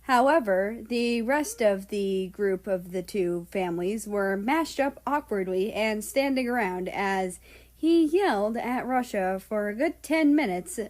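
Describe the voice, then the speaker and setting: A woman reading a story aloud as an audiobook narrator, in expressive scripted narration. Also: mid-range voice, measured pace.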